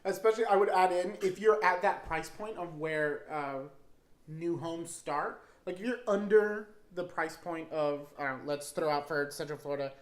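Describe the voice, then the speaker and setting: A man in his thirties co-hosting a casual advice chat, animated and joking, quick to laugh. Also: fairly high pitch, roomy sound. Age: 30s. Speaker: real estate agent, co-host. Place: indoor room set, seated at a table.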